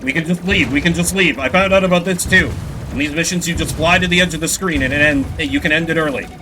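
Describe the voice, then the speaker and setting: A man's voice: fairly high pitch, fast talker, noisy background. A young adult man streaming a game blind, rambling with swears and self-deprecating jokes. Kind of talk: blind let's-play commentary. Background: game music.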